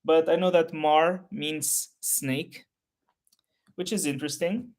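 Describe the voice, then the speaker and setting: A man whose first language is Portuguese, talking unscripted to his channel's viewers in a halting, rambling way full of restarts. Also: fairly high pitch, fairly even intonation, darkish tone. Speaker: history YouTuber. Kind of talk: unscripted solo talk to camera.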